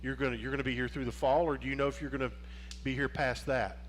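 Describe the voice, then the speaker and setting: A man hosts a relaxed sports interview show. He rambles and restarts his sentences, padding them with fillers. His voice sits at an ordinary pitch, with a faint hum behind it. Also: quick pace.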